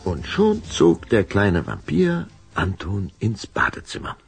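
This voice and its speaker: A man narrating a children's audio story from a script in a dramatic, deliberate storytelling manner. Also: lowish voice, huge pitch swings, gravelly, slightly rough, no echo, faint music behind.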